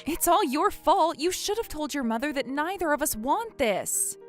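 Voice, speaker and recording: Woman acting a feisty, animated university girl. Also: sits high, normal speed, faint music behind. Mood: irritated. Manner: snappy, indignant, bickering. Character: feisty, stubborn university girl, bickering childhood friend. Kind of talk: manga dub acting.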